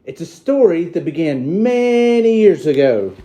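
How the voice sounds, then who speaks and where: A man playing an excitable, childlike Christmas elf who reads a storybook to children with slow, theatrical delivery. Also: fairly high pitch. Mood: cheerful, earnest.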